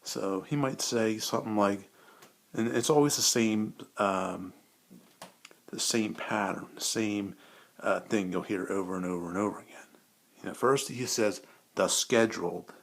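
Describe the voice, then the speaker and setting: A man with a slightly deep voice talks in an informal, rambling way. He sounds like an enthusiast sharing a hobby, often hesitating and repeating himself as he goes. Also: a little slow, vocal fry. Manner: hesitant, repetitive, enthusiastic fan talk. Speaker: hobby impressionist and vlogger. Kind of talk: casual rambling chat.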